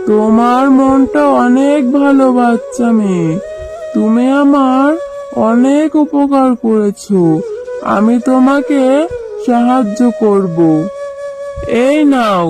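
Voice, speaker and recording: A woman voicing an aged, weary, kindly talking papaya tree in a cartoon, speaking gently over music. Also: on the high side. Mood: kindly grateful. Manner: slow, gentle pleading and thanking. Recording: loud music behind the voice.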